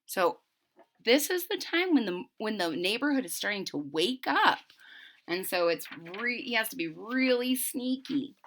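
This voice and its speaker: A woman reading a picture book aloud to children in a dramatic, animated way, stopping to explain things. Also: on the high side, a little slow. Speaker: children's story reader. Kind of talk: expressive read-aloud with explanatory asides to children.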